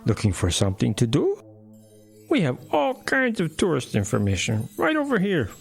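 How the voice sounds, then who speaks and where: A man voicing an old motel owner, eager and inviting, with a creaky voice, in an audio drama. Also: fairly high pitch, huge pitch swings, moderate pace, soft background music, voice pushed up, exaggerated voice. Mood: eager, welcoming.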